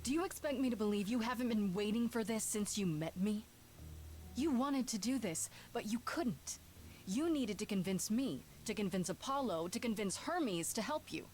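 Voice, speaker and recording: An actress playing a blunt, defiant young heroine in a dramatic video game scene. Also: female voice, mid-range voice, animated, normal speed, a little mellow, slight background noise, natural voice. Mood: confronting.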